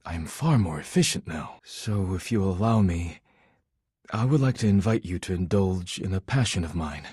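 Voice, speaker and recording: A deep, husky, solemn poet. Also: male voice, animated, a little mellow, no echo, clean studio sound. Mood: earnest, reflective. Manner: formal, measured, solemn, avoids contractions. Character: formal, earnest, proud male poetry-loving dish character. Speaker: voice actor in a dating simulator game. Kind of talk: scripted video game character dialogue.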